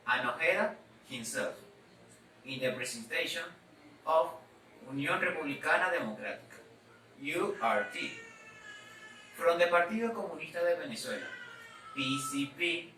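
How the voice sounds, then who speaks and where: Bright-voiced man reading a presentation, echoey. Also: fairly high pitch. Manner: halting, careful reading in choppy phrases. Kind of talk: scripted history presentation read aloud. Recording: echoey, distant mic, background noise.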